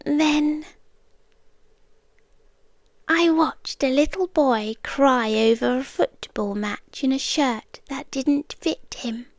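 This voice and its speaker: A British woman playing an ageless alien yandere girlfriend, obsessively devoted and eerily tender, in a soft, breathy, half-whispered ASMR roleplay. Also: high voice, slow pace, long pauses, no echo, clean studio sound. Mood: wistful, obsessive. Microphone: close, dry microphone.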